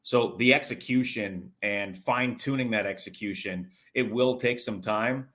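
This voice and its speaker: A man's voice: on the low side, a bit bright. A man giving conversational sports analysis as an interview guest, explaining things at length and in detail. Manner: talkative, explanatory, long detailed answers.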